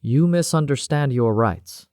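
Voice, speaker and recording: A man's voice: mid-range voice, no room sound, clean studio sound, pitched above the performer's own voice, natural voice. A man voicing Cavendish, cold female security officer. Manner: slow, calm and commanding.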